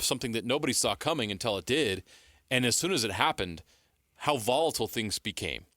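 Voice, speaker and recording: An American man co-hosting sports radio, giving measured, explanatory analysis in a conversational style on a close studio mic. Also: medium pitch, bright, forceful tone, dry recording. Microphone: close studio broadcast mic.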